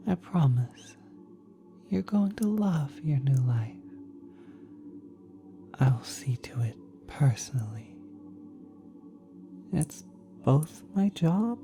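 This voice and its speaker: A very deep, dark, velvety voice from a woman playing a kind celestial being in an ASMR roleplay. It is soft and half-whispered, cooing tenderly like a doting parent. The delivery is slow and lilting, with an otherworldly patience. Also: heavily acted. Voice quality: soft, breathy, partly whispered.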